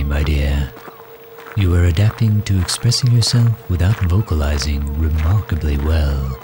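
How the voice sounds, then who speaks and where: A man playing a courtly, overprotective villain who fusses gently and formally in an ASMR roleplay drama. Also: extremely low pitch. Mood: gentle, encouraging. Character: doting, overprotective male villain caring for injured hero.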